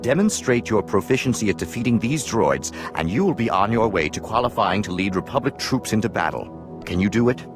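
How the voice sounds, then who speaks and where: Low, expressive military instructor, played by a man. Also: music in the background, close to an everyday voice. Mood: composed authority. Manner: formal, measured, authoritative. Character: composed male military instructor briefing a trainee. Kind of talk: video game dialogue acting.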